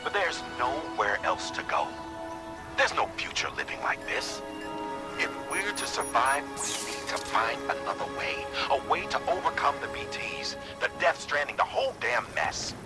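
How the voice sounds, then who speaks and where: A man acting a steady, earnest mission handler who gives measured briefings in a video game. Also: medium pitch, loud music behind the voice, close to an everyday voice. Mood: grave. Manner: measured, explanatory.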